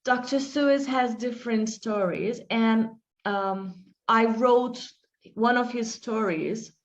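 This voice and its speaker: Woman teacher, unhurried and reflective, muffled call audio. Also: a little high. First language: Turkish. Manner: slow, reflective, with frequent fillers and restarts. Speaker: English teacher being interviewed. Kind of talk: interview answers, storytelling.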